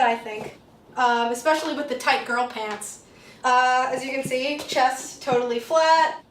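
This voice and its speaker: A woman's voice: high voice, a bit bright, a little hiss or hum. A young woman, a drag king artist, explains her makeup routine in a casual, offhand way, joking about herself as she goes. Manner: offhand, joking, self-deprecating. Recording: distant mic with music behind.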